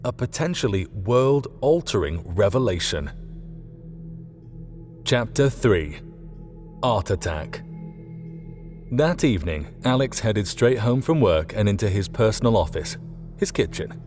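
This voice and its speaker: A man's voice: fairly low pitch, lively intonation, measured pace, long pauses, a little mellow. A man reading scripted fiction as an audiobook narrator. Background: faint music.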